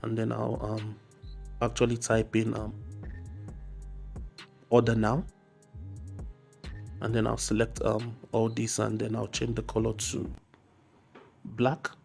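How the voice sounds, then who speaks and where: A man with a slightly hoarse voice from a cold narrates a casual software tutorial, explaining step by step with frequent hesitation. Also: fairly low pitch, monotone, slow pace, pauses often. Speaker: graphic design tutorial host. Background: faint music.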